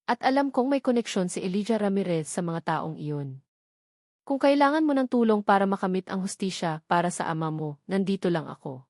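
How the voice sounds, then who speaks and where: A woman's voice: mid-range voice, lively intonation, fairly quick, darkish tone, no echo, studio quality, close to an everyday voice. A woman voicing an insinuating male attorney in an audio drama, sympathetic and confiding.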